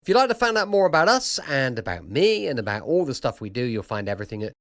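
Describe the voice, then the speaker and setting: A man's voice: medium pitch, very animated, fast, a bit bright, dry recording, clean studio sound. A man with a sore throat hosting a podcast, chatting casually through his introduction. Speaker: podcast host.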